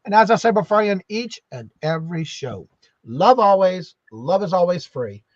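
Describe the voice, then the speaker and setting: A man's voice: high-pitched, lively intonation, moderate pace, dry recording, dull audio. A man hosting a casual live stream, chatty and full of fillers, saying y'all a lot. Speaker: host.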